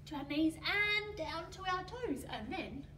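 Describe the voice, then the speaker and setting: Very high-voiced woman, roomy sound. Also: lively intonation, unhurried, bright, forceful tone, a little hiss or hum. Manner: encouraging, sing-song, addressing young children. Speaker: children's library storytime presenter. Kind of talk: children's singalong and instructions. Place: indoor children's library corner. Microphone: far camera. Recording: roomy, distant camera, ukulele accompaniment. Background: ukulele music.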